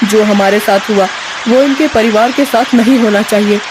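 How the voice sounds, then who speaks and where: A woman's voice: mid-range voice, moderate pace, heavy background noise, natural voice. A woman voicing an earnest, worried young villager. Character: earnest, helpful young villager caught in a flood. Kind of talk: animated Hindi story dialogue.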